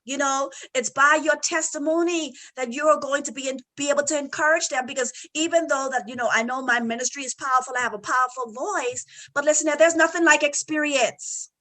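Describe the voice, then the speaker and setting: Woman marriage coach, high and bright, emphatic, encouraging faith talk. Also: a little fast, few pauses. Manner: emphatic and encouraging, repeats phrases. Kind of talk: faith-based conversation.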